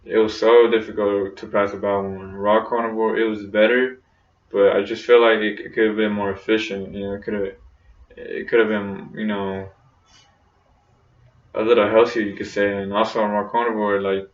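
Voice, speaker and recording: A man's voice: fairly low pitch, level tone, normal speed. A young man talking casually in a personal vlog, rambling with lots of fillers and restarts. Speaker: vlogger. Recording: roomy, distant, dull lo-fi sound.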